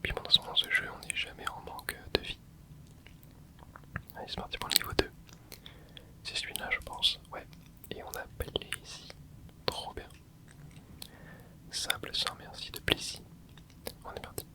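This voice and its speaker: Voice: very high voice, completely flat delivery, normal speed, pauses often, pushed and bright, low-quality recording. A host whispering casual gameplay commentary, rambling and thinking aloud with many hesitations. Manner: casual, hesitant, frequent filler words. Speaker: gaming channel host.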